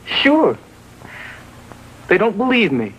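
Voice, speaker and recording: A man's voice: high voice, wildly swooping pitch, slow, a bit bright, a little hiss or hum, heavily acted, low-quality recording. Sullen, defiant American teenage boy. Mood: sulky. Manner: flippant, defiant, slangy. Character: sullen, evasive teenage boy gang leader. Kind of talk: 1950s American drama acting.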